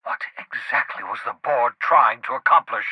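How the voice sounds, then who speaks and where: An actor playing an eccentric, righteous outlaw scientist in a video game, theatrical and erudite, swinging between scorn and glee in a raspy voice. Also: male voice, mid-range voice, normal speed, no echo, muffled recording. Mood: troubled. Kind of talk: video game character dialogue.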